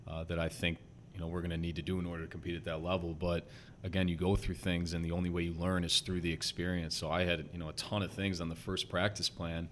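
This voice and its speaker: A young man with a slight Chicago accent, speaking as a guest coach on a podcast. His voice is very deep and stays quite flat. He talks earnestly and quickly, full of "you know" and "um", with a faint low rumble behind him. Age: young adult. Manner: earnest, talks fast with many fillers. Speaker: basketball head coach, guest. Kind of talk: casual podcast interview.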